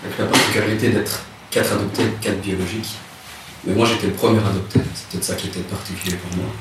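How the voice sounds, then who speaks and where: A man, telling his life story in a relaxed interview, hesitant and soft-spoken with many restarts. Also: low-pitched, fast. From Rwanda. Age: 30s. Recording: echoey room, distant mic, noisy.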